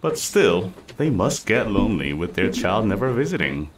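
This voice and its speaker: A man's voice: lowish voice, very animated, moderate pace, recorded from a distance, slight background noise. A man playing a friendly, modest village family man in a video game, chatty and considerate. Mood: thoughtful. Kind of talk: scripted video game dialogue.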